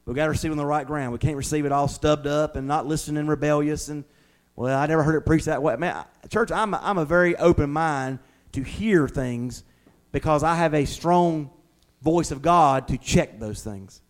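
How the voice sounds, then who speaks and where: High-pitched preacher, a middle-aged man. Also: normal speed. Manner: folksy, uses homely examples and acts out imagined replies. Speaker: pastor preaching to a congregation. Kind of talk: informal conversational evening sermon.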